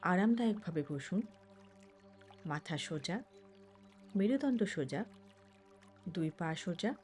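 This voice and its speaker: Female meditation guide. Lowish, very mellow tone, measured instructional phrasing over background music. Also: lively intonation. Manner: measured, with pauses between short phrases. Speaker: certified meditation instructor and mind trainer.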